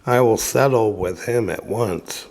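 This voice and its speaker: Man reading as a slow-spoken old storybook carpenter. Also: lowish voice, a little mellow. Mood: frightened, puzzled. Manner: muttering to himself, self-reassuring. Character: good old carpenter, bewigged, easily frightened, muttering to himself. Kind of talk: storybook character voice.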